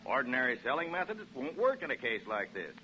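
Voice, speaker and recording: A breezy American property salesman in a 1940s radio play. His voice is bright and very lively, with a folksy, reassuring patter. The recording is muffled and old. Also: mid-range voice, fast talker, slight background noise. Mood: breezy, persuasive. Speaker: real estate agent.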